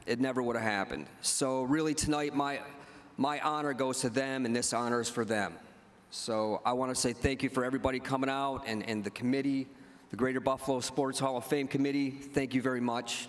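A man's voice: mid-range voice, fairly even intonation, a little fast. A middle-aged man giving a casual, joking acceptance speech at a podium, telling stories with fillers and restarts and acting out what people said. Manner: rambling storytelling with fillers and restarts, acting out quoted dialogue. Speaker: hall of fame inductee giving acceptance speech. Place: large banquet hall, at a podium. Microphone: podium microphone. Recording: long hall reverb from the room.

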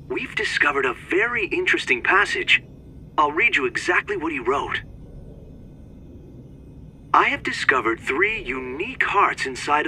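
An actor playing an earnest young male researcher, speaking in measured, formal phrases in a video game cutscene. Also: fairly high pitch, pushed and bright, a little hiss or hum, exaggerated voice, poor audio. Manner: measured, precise, formal reading.

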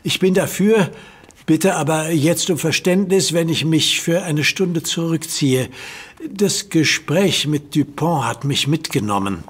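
An actor playing a weakened hero in an audio drama, hesitant and subdued. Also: male voice, a little high, lively intonation, measured pace, breathy edge. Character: weakened middle-aged male hero, Jan Tenner, hiding distress.

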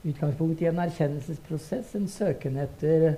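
An older man with a Norwegian accent talking unscripted on a theatre stage, halting as he searches for words. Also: fairly high pitch, warm tone, a little hiss or hum, low-quality recording. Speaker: theatre director and co-writer. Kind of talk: unscripted onstage conversation.

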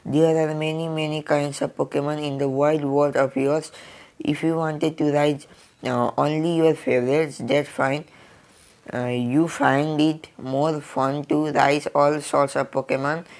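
A man reading out the lines of a calm male game champion in halting English, his voice hoarse from a cold. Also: on the high side. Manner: slow halting reading of on-screen dialogue.

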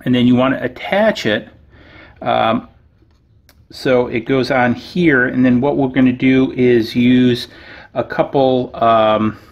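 A man's voice: mid-range voice, dull audio. Man talking through a hands-on build. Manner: halting, thinking aloud. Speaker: host of a maker show. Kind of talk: casual hands-on build tutorial.

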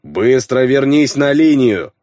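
A commanding male team captain, voiced by a man reading an audiobook, barks orders in exasperation. The scolding voice sits higher and louder, recorded dry. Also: lively intonation, takes it slowly, no echo. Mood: angry, urgent. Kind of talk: audiobook character voice.

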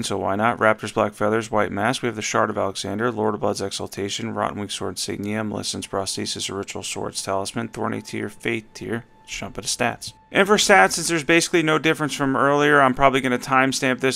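A man talks fast and upbeat about a game build, his voice hoarse from a cold and full of gravelly fry. His tone sits bright and a little tense. A faint hiss of noise runs under him. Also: mid-range voice. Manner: upbeat, enthusiastic rundown. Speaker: gaming channel host. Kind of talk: game build commentary over gameplay.

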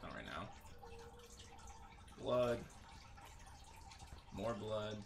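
A man's voice: lowish voice, very animated, drawn-out words, vocal fry, noisy background. A man giving casual gameplay commentary in a joking, sarcastic, crude way. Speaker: gaming streamer.

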